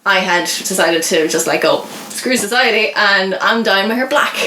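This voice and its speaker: Bright-voiced young Irish woman, animated storyteller. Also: medium pitch, moderate pace. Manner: animated, acts out quoted remarks. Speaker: guest. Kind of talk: casual chat, personal storytelling. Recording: roomy echo, faint noise.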